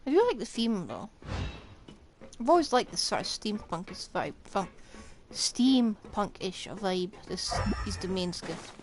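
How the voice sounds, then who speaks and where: A woman's voice: mid-range voice, sing-song, measured pace, background noise. A woman doing live gameplay commentary, thinking aloud with blunt remarks. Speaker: game streamer.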